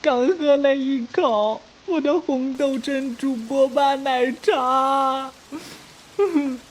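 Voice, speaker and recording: A woman playing a cheerful, bumbling young police rookie in an animated crime drama, sheepish, eager and chatty. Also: high-pitched, drawn-out words, a little hiss or hum. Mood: puzzled, innocent.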